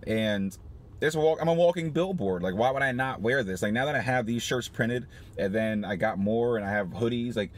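A man in his thirties talking casually in a parked car, animated and laughing often, with many restarts. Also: medium pitch, fairly quick. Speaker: small business owner vlogger. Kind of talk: casual motivational vlog. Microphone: phone camera on dashboard.

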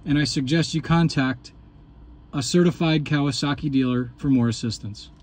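A man's voice: mid-range voice, normal speed, slight background noise. A man hosting a hands-on repair walkthrough, explaining each step methodically while filming with a handheld camera. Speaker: channel host demonstrating repairs. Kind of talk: instructional walkthrough.

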